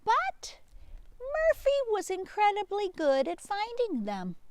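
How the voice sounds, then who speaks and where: A woman doing an animated read-aloud for children like a teacher, playful and expressive, with dramatic hushes and emphasis. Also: very high-pitched, slow, a little hiss or hum.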